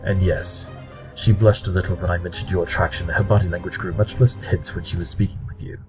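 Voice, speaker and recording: Man playing a low-voiced, haughty pony detective. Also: steady tone, a little fast, a little mellow, soft background music, natural voice, poor audio. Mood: smug, measured. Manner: haughty, precise, pedantic. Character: aloof male pony detective, Sherlock Holmes parody. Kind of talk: audio drama acting.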